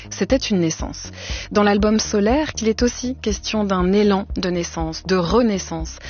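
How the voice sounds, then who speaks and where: A woman radio host who reads a lyrical, measured introduction and then asks her guest questions in a studio. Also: medium pitch, darkish tone. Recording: slightly dull studio recording.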